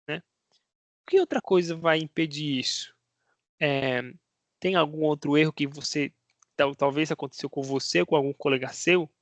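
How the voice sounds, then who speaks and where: A man's voice: fairly high pitch, no echo. A man with a Brazilian Portuguese accent, a Spanish teacher talking casually to his viewers, asking himself rhetorical questions and tagging his sentences with little checks. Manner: conversational, asks himself rhetorical questions, tags lines with "né?". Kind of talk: casual explanatory video talk to viewers.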